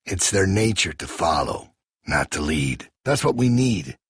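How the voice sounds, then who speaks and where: A ruthless American survivor boss in a video game. His voice is low and heavily rasped, with folksy, controlling menace that moves between soft persuasion and hard command. The recording is close and dry. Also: big pitch swings, no echo, exaggerated voice. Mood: quiet, persuasive. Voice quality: gravelly, raspy. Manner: folksy, slow-burning menace, preachy. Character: menacing male survivor-camp leader, calm, controlling, ruthless.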